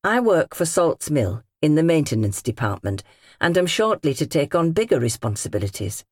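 A woman's voice: deep voice, sing-song, normal speed, breathy edge, no room sound, clean studio sound, heavily acted. A British woman narrator voicing an earnest, formal young working man reading out his polite letter in an audiobook. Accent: British English.

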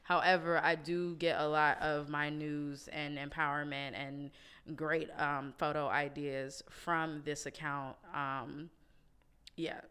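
Low, bright-toned woman host. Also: level tone, takes it slowly, clear, resonant tone. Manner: laid-back, swears casually, laughs often. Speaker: podcast host. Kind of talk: casual chat.

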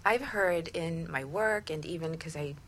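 Low-voiced woman in radio conversation. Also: measured pace, fairly bright tone, slight background noise. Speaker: radio show co-host.

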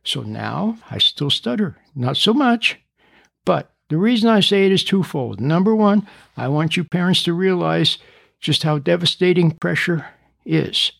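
A man's voice: sits high, lively intonation, measured pace, vocal fry, no room sound, studio quality. A stuttering man in his seventies. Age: 70s. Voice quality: stutters at times. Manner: earnest, preachy, repeats and rephrases points. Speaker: speaker on parenting. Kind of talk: recorded talk addressing parents.

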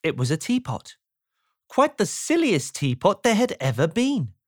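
A man's voice: high-pitched, sing-song, measured pace, darkish tone, no echo, studio quality. A man narrating a children's storybook as an audiobook, in a playful way that invites the listener in. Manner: playful, asks the listener rhetorical questions.

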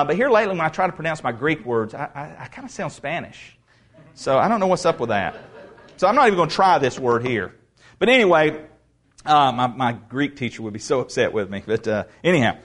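Man, pastor, fairly high-pitched, casual sermon delivery with restarts, swells to loud proclamation. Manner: conversational preaching with asides and restarts, rising to loud proclamation; reads scripture aloud slowly. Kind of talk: expository sermon.

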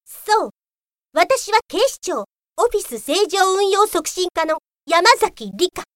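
A woman playing an indignant, sharp-tongued policewoman in a comic audio drama, given to exasperated retorts. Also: very high voice, very animated, slow, dry recording, clean studio sound, exaggerated voice. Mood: official, firm. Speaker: voice actor.

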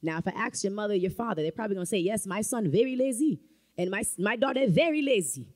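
A woman's voice: mid-range voice, expressive, fast, darkish tone. A woman preaching a sermon in a church, emphatic and rising to fervent calls of amen. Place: church sanctuary.

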